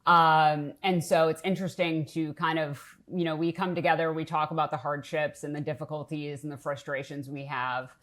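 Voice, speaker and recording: A woman's voice: sits low, level tone, moderate pace, hardly any pauses, a bit bright. A middle-aged woman from the Boston area, a nonprofit leader talking candidly and with humor in an interview. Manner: candid, self-deprecating, upbeat. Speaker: nonprofit CEO, guest. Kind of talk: casual interview talk.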